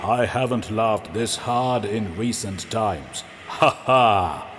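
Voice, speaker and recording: A man voicing a proud, blustering lion in a pompous, theatrical way for an animated children's story. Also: lowish voice, slow pace, a little hiss or hum. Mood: amused, haughty. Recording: music behind the voice.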